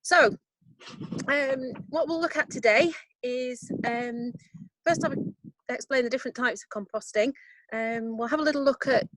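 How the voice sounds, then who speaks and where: A woman's voice: sits high, unhurried, fairly bright tone, no room sound, rough recording. A woman with a Yorkshire accent giving a casual composting workshop outdoors over a video call, chatty and self-deprecating with lots of ums. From Yorkshire, England. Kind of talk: casual online workshop talk. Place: outdoors in a garden.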